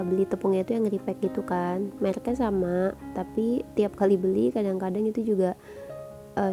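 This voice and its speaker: A woman with a nasal, congested voice from a cold, casually explaining a recipe step by step in a voice-over. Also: medium pitch. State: stuffy nose from flu. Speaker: home cook presenting a recipe. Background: background music.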